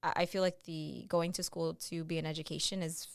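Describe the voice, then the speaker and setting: Earnest young woman, smooth voice. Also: sits low, little pitch movement, dry recording, studio quality. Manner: earnest, halting with many fillers. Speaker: podcast co-host. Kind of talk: casual chat.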